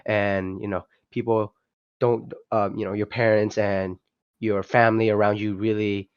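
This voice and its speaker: A man's voice: lowish voice, little pitch movement, unhurried, clean tone, no room sound. A young man from Vietnam, a guest talking casually on an online call, hesitant and full of restarts and fillers. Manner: hesitant, many restarts and "you know" fillers. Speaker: guest, project founder. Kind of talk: casual podcast interview. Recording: dull lo-fi online call audio.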